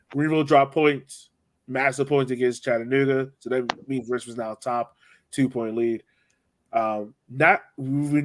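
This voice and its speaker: A man hosting a casual sports podcast, speaking conversationally and putting questions to his co-hosts. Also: medium pitch. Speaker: podcast host.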